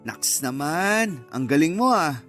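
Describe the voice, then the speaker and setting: A flirty suitor in a radio romance, full of flattery. His voice is notably high for a man. Faint music plays behind him. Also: takes it slowly, exaggerated voice. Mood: teasing, interested. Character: flirtatious, flattering suitor chatting up a taken woman. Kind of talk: radio drama dialogue.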